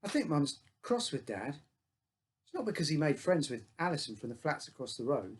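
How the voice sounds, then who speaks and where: A man's voice: fairly high pitch, very animated, moderate pace, slight background noise. A man reading his own short story aloud as a first-person narrator, in simple childlike phrasing.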